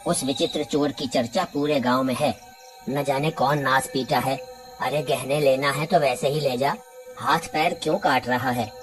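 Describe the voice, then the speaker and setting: A man's voice: mid-range voice, steady tone, moderate pace, faint music behind, close to an everyday voice. A man playing a worried, exasperated villager in a cartoon story, complaining in a dramatic, fretful way.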